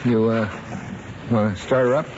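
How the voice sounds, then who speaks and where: A man with an American accent playing a smooth-talking, folksy used-car seller in a vintage film. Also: mid-range voice, steady tone, moderate pace, lightly acted. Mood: casual salesmanship. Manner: persuasive, folksy. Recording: muffled vintage film soundtrack, faint noise.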